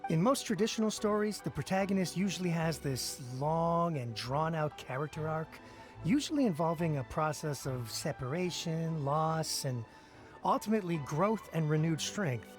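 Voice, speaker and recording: A frank, casual male fan voiced by an actor with a somewhat high pitch. He explains himself candidly in anime game dialogue, with clear music in the background. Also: expressive, normal speed, natural voice. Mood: candid, enthusiastic. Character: candid, casual male reader who loves cheap thrills.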